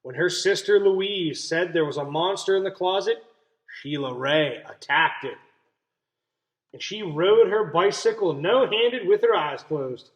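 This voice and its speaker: A man's voice: high-pitched, expressive, a little slow, poor audio. A man teaching young children through a picture book read-aloud, explaining simply and checking in with questions.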